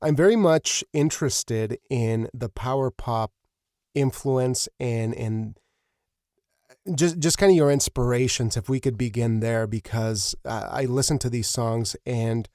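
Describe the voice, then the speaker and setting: A man's voice: medium pitch, a little slow, darkish tone, dry recording. Man hosting a casual interview, very dry sound. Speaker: podcast host, interviewer.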